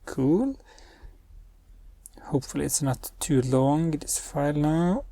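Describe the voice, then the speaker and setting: Slow, gravelly man. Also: a little high, expressive, warm tone. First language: Norwegian. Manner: thinks aloud while giving spoken commands. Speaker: web developer and channel host. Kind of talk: screen-recorded coding tutorial.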